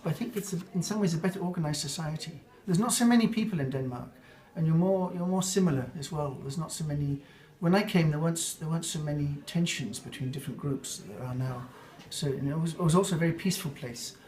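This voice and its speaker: Older English man, fairly high voice. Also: fast talker, few pauses, darkish tone. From England. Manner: reflective, rambling with fillers and restarts. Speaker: interviewee. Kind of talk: casual interview answers.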